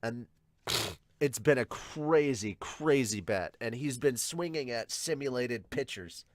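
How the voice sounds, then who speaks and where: A man's voice: medium pitch, lively intonation, slow, no echo. A man giving casual, enthusiastic reaction commentary as a show host, emphatic and given to swearing. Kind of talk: casual reaction commentary with dramatic read-alouds.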